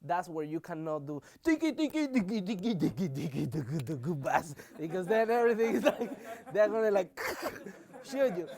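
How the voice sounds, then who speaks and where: A man teaching a music workshop, counting in the students and singing drum patterns aloud in an animated way. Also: high voice, moderate pace, few pauses.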